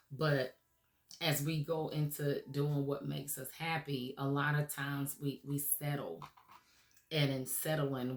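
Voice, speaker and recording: A woman hosting a motivational radio show, her voice raspy from recent laryngitis, talking earnestly and encouragingly with many "um"s and "okay?"s. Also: sits low, reverberant. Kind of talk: motivational talk show monologue.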